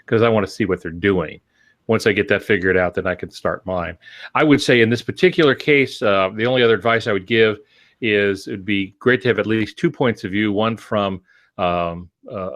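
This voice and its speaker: An older man speaks in a low-pitched voice, recorded dry and close. He co-hosts a podcast and offers advice in a casual, conversational style. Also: a bit bright, dry recording. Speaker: podcast co-host. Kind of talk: casual advice-giving talk.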